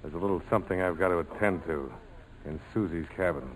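An actor playing a tough, guarded ex-army major in a 1940s radio drama, clipped and curt, with an American accent. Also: male voice, deep voice, fast talker, over loud music, close to an everyday voice. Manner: clipped, curt, hard-boiled. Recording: noisy 1940s radio broadcast.

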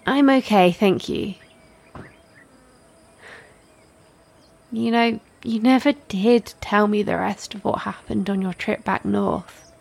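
A woman playing a gentle, attentive companion in a naturalistic audio drama, speaking quietly and asking questions. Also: mid-range voice, creaky, slightly rough. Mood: quiet, tender. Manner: quiet, unhurried, inquisitive. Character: gentle, attentive companion coaxing a friend's story.

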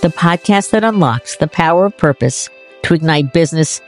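Low, expressive woman hosting a podcast. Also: faint music behind. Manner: expressive, slows down to stress key words. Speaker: podcast host. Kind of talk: podcast hosting, scripted intro then chat.

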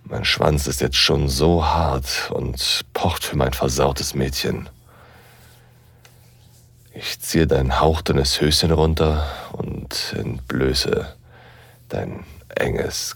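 A commanding, teasing professor with an extremely low voice and a gravelly fry. He narrates an erotic audio drama slowly and deliberately, intimate and at times breathy, in a dry, close recording. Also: steady tone, fairly bright tone, dry recording, heavily acted. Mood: aroused, hushed. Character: dominant male university professor, seductive, teasing, commanding lover.

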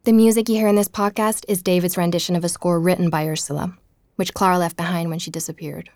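A woman playing an earnest investigative journalist, narrating a podcast-style audio drama in a measured, inquisitive way. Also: on the low side, steady tone, a little mellow, natural voice. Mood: wistful, indignant. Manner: inquisitive, measured storytelling with rhetorical questions.

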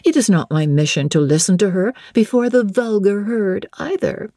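Woman reader as young noblewoman, period storybook: high-pitched, haughty, wilful, clipped commands, dry close sound. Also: expressive, moderate pace, dark tone, dry recording, close to an everyday voice. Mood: imperious, impatient. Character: haughty, wilful young aristocratic lady, imperious.

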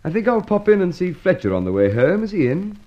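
A courteous, probing police inspector in a vintage radio play. He hesitates, stammers and apologises with lively intonation, on a dull, lo-fi recording. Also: high-pitched, quick pace. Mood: dry, suspicious. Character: polite, bumbling yet probing male police inspector. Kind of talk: old-time radio drama acting.

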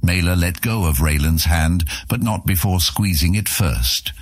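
Very deep, husky male narrator. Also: unhurried, no room sound, studio quality. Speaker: audiobook narrator. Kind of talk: scripted fantasy narration.

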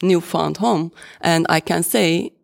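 Gravelly speaker with a Turkish accent. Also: medium pitch. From Turkey. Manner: deliberate, halting. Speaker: architect and artist. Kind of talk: panel discussion.

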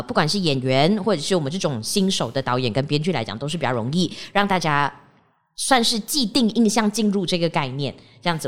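Malaysian woman chatting, lively lowish pitch. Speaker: podcast host and amateur drama producer. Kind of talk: casual behind-the-scenes group chat.

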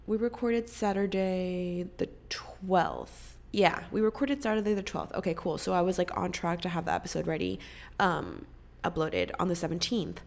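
A woman's voice: lowish voice, lively intonation, normal speed, vocal fry. A young woman hosting a podcast, chatting casually and rambling, swearing freely, and sounding congested. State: congested, coughing. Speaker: podcast host. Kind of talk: casual chat.

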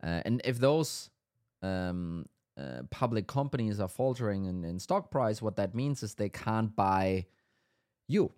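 A man's voice: lowish voice, animated, unhurried, a little mellow. A man hosting a casual business podcast, explaining things at length with many ums and restarts; his first language is Danish. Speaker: podcast host.

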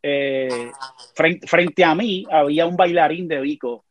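A man's voice: fairly high pitch, measured pace. Puerto Rican man telling stories. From Puerto Rico. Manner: animated storyteller, frequent restarts. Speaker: guest. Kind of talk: casual conversation.

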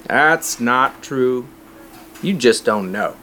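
An actor playing a middle-aged small-town cafe cook in an audio drama, helpful, folksy and plain-spoken. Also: male voice, mid-range voice, lively intonation, takes it slowly, bright, forceful tone, slight background noise. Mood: mildly scolding.

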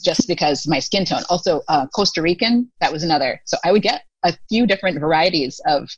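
A woman's voice: low voice, lively intonation, a little fast, breathy edge, no room sound, highs cut off. An American woman in her thirties telling stories as a podcast guest, talking casually with lots of fillers and laughs. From United States. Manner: chatty, many fillers, laughs often. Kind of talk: casual storytelling interview.

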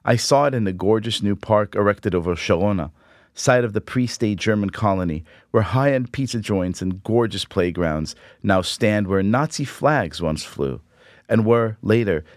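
A middle-aged man, Hebrew speaker, reading a personal essay aloud in a measured, reflective, wry way. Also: lowish voice, no echo, clean studio sound. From Israel.

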